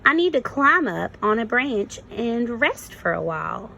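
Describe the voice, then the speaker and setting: A little caterpillar girl from a children's storybook, voiced by a woman reading aloud. She is friendly, playful and gentle, speaking slowly and sweetly in a lifted pitch, with faint noise on the recording. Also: very animated, creaky, exaggerated voice, low-quality recording. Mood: uneasy, reassuring.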